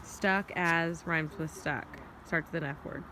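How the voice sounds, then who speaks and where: A woman vlogging outdoors in the snow on a handheld phone, joking and excitable with bursts of high shouts. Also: a little slow. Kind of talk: casual vlog narration. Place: outdoors in snow by a stuck truck. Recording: loud outdoor noise.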